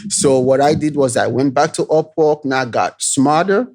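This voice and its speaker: A man's voice: mid-range voice, moderate pace. Nigerian-accented man telling his story. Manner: relaxed storytelling, frequent "you know" tags. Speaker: guest, tech founder. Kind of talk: casual interview chat.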